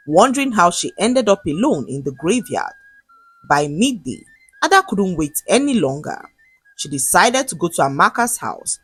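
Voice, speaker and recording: A woman tells a dramatic folktale in a husky voice that moves up and down expressively. It is recorded close and dry, with music underneath. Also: on the low side, fairly bright tone, dry recording. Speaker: storyteller. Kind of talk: scripted dramatic folktale narration.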